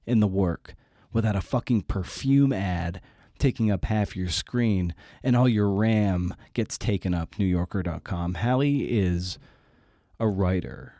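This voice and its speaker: A podcast host, a man with a lowish voice recorded very dry. He talks casually and conversationally, with a slangy, irreverent streak. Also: measured pace, dark tone, dry recording.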